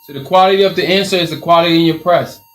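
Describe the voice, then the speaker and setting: A man's voice: a little high, rapid-fire, poor audio. Upbeat Liberian man hosting a live stream. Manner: energetic, motivational, repeats phrases. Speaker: t-shirt business coach.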